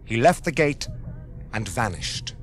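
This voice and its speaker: Slow, grave British male narrator. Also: medium pitch, sing-song. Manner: grave, measured, portentous. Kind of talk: dramatic scripted narration for radio fantasy. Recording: radio drama with faint music behind.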